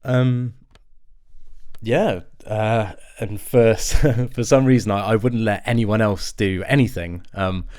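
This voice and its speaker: An actor playing a weary, anxious new father in an audio drama, speaking haltingly with nervous laughs and throat clearing. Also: on the low side, fairly even intonation, darkish tone. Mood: weary, exasperated. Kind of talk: naturalistic audio drama acting.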